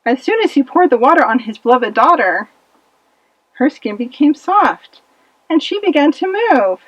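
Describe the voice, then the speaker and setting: High, lively woman storyteller. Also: moderate pace, dry recording, poor audio. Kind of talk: scripted children's story narration for a puppet show.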